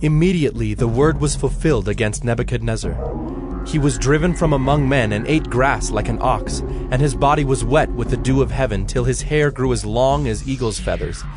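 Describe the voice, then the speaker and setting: A man narrating scripture as a scripted story, in a solemn, measured way. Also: mid-range voice, over music.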